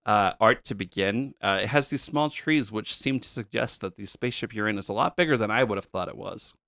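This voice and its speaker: A man with a lowish voice talks casually as a podcast co-host, explaining things in a relaxed way with many fillers. His voice sits close and dry, with no room sound around it. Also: a little fast, gravelly, no echo. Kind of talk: casual chat about retro games.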